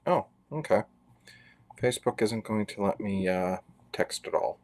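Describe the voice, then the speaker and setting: A man's voice: lowish voice, a little slow, darkish tone. A man in his forties from Canada, chatting casually and rambling with self-deprecating asides while he draws on a live stream. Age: 40s. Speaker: artist live-streaming a drawing.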